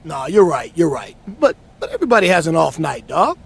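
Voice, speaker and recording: A man's voice: fairly high pitch, big pitch swings, a little fast, vocal fry, heavy background noise. A man playing a flustered, easygoing wrestler backstage in a video game cutscene, talking in an informal, slangy way. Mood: consoling.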